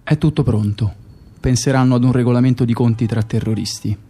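An actor playing a cold, methodical secret-service bomb maker who recounts operations in a precise, matter-of-fact radio drama monologue. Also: male voice, medium pitch, steady tone, brisk, warm tone, slightly rough. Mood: cold, businesslike.

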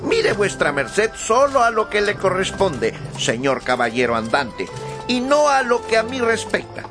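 Radio play squire: naive greedy peasant, high voice, lively swings, music behind. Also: male voice, measured pace, full character voice. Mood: indignant. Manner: naive, protesting. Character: simple peasant squire, greedy, alarmed, plain-spoken. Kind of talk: radio drama acting.

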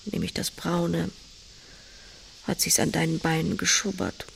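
Blunt farm woman in a radio play, creaky intimate voice, often half whispering, bit of noise behind. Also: lowish voice, steady tone, a little slow. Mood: hushed, reflective. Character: blunt, tender pig-farming countrywoman in love. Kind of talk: naturalistic audio drama acting.

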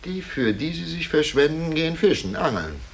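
An actor playing a stern, formal official who questions and admonishes a prisoner in a 1950s German radio play. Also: male voice, a little high, sing-song, moderate pace, vocal fry. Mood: admonishing. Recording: hissy 1950s radio recording.

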